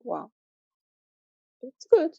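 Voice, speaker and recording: A woman hosting a video call as a teacher, giving quick, encouraging replies. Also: dark tone, dry recording. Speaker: host teacher. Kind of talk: casual video-call chat. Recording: muffled video-call audio.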